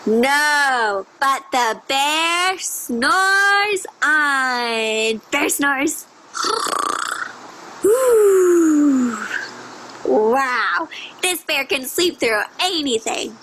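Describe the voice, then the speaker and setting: A woman's voice: sits high, lively intonation, background noise. A woman reading a picture book aloud to young children, with exaggerated storytelling and simple questions to her listeners. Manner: exaggerated, drawn-out storytelling delivery with child-directed asides.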